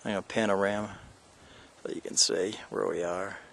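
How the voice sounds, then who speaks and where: A man's voice: on the low side, flat delivery, moderate pace, creaky, fairly bright tone, a little hiss or hum. An older man casually narrating a hike outdoors, rambling and joking with lots of fillers, filmed on a handheld camera. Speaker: hiker filming a vlog. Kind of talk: casual narration while hiking. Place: outdoors on a mountain trail, windy.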